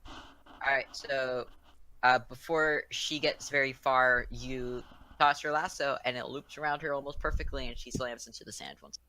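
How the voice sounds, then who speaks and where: Game master narrating a tabletop rpg, talks straight to players, bright voice, bit noisy. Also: mid-range voice, steady tone, moderate pace. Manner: informal, addresses players directly as their characters.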